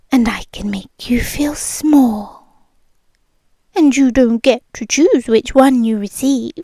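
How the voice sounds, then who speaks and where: A possessive, obsessive young girlfriend with a British accent, played by a woman, whispering softly and breathily. Her voice sits high and moves very slowly, each word lingered over with menacing sweetness. The sound is dry and close, with no room around it. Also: dry recording, full character voice. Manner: slow, intimate, menacingly sweet. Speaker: ASMR roleplay performer. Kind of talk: ASMR roleplay. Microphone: close microphone.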